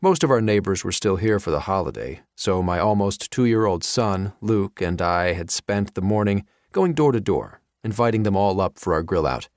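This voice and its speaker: Casting: American male narrator for thriller fiction, expressive delivery, fairly low voice, very dry studio sound. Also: moderate pace, hardly any pauses, no echo. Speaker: audiobook narrator. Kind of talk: scripted first-person thriller narration.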